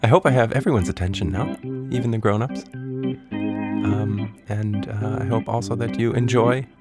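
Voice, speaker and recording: A man's voice: on the low side, steady tone, moderate pace, over loud music. A man hosting and telling stories for children, talking to them directly in a playful, conspiratorial way. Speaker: host, author and storyteller. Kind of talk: children's storytelling podcast.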